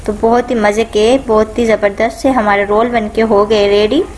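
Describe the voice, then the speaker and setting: A woman hosting a home cooking channel, calmly talking viewers through each step of a recipe in a voice-over. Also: fairly high pitch, moderate pace, slight background noise. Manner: calm, instructive, repeats each step.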